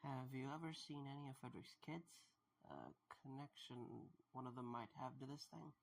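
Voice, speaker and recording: A man acting in an audio drama as a subdued, empathetic man who speaks haltingly. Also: mid-range voice, steady tone, slight background noise, natural voice. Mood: serious, probing. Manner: hesitant, halting delivery. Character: Mike, subdued, empathetic man facing haunted animatronics.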